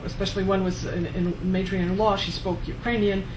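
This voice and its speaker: A woman in the audience asking a question, halting and restarting her phrases. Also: mid-range voice, little pitch movement. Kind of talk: question from the floor. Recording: echoey hall, noisy.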